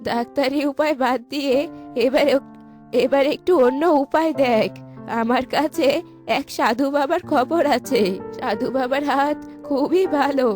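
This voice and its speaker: A woman voicing a kindly old village aunt in a cartoon, with slow, drawn-out, sympathetic speech. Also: high voice, darkish tone. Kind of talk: cartoon voice acting. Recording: music behind the voice.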